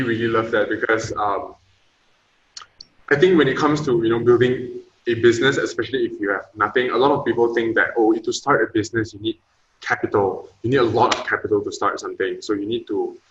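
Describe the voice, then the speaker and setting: A man's voice: lowish voice, a bit bright. A young man hosting a podcast, asking casual, rambling questions full of fillers. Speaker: podcast host. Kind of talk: interviewing. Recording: roomy echo, dull lo-fi sound.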